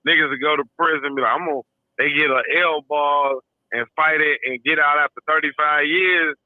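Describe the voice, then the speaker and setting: A man's voice: on the high side, fairly even intonation, fairly quick, no room sound. A man co-hosting a live podcast, chiming in with short, slangy asides. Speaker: podcast co-host. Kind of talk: casual chat. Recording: tinny phone-like call-in line.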